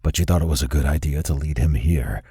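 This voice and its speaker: This is a man voicing a menacing male boss from an audiobook. His voice is very low, lower still than the reader's own. He speaks curtly, with little patience. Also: quick pace, dark tone, dry recording, studio quality, exaggerated voice. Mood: gruff. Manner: gruff, curt. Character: stern, feared male crime boss.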